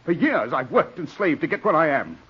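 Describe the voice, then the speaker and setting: Stern barrister in muffled vintage radio drama. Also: male voice, on the high side, sing-song, a little fast, breathy edge, exaggerated voice. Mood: angry, scornful. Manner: clipped, commanding, interrogating. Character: stern, ambitious barrister, cold self-serving brother. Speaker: radio actor. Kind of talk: 1940s radio drama acting.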